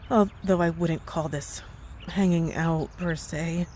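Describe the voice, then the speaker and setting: A woman's voice: on the low side, steady tone, takes it slowly, warm tone, slight background noise. A woman playing a concerned coworker in an ASMR roleplay, speaking earnestly, hesitantly and with care. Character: worried, caring married female coworker, hesitant and earnest. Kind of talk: ASMR roleplay audio drama.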